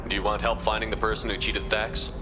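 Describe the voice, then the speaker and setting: A deep-voiced commander acted by a man in a science fiction game. He talks quickly and stays calm and businesslike while music plays behind him. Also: lightly acted. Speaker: player character, military commander. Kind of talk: scripted video game dialogue.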